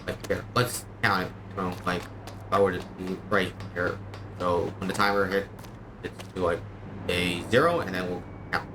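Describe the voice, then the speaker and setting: A man's voice: low-pitched, moderate pace. A man giving unscripted live game commentary in a rambling, opinionated way, explaining as he goes. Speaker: game streamer. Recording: clear background noise.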